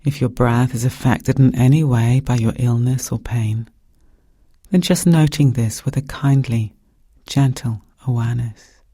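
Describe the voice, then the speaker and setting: A man with a soft, breathy voice guiding a meditation in calm, gentle, measured phrases with pauses. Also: mid-range voice, no room sound, studio quality. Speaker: meditation guide. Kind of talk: guided meditation narration.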